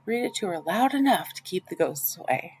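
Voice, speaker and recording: A woman reading a children's novel aloud in the first person, with expressive storytelling. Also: on the low side, a little fast, a little hiss or hum. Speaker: audiobook reader.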